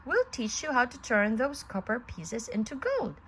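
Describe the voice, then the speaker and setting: Woman as a sly storybook animal trickster, very animated. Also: on the high side, normal speed, a little hiss or hum, voice pushed up. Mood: coaxing. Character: sneaky, coaxing con-artist cat and fox.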